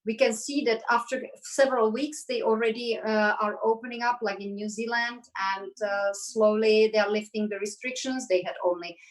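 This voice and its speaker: A woman's voice: fairly high pitch, fairly even intonation, moderate pace, few pauses, rough recording. A woman from the Czech Republic, speaking as a guest on an online panel discussion in an earnest, hesitant way with many fillers. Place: Belgium.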